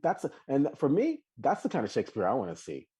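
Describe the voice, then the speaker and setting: A man co-hosting a podcast in a casual live chat, talking animatedly with many restarts and high laughing outbursts. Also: huge pitch swings, fast, warm tone, breathy edge. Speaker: podcast co-host.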